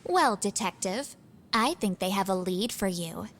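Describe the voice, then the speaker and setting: A woman's voice: medium pitch, lively intonation, measured pace. A woman voicing a cocky teenage schoolboy in a video game dub, wheedling and slangy with mock politeness. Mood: sly, casual. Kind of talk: video game cutscene English dub acting.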